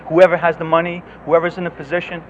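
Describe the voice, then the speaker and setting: A man's voice: fairly high pitch, level tone, quick pace. A man born in Greece asking a politician an impassioned question, earnest and frustrated, often restarting his words. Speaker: audience member asking a question. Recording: lo-fi outdoor recording with street noise.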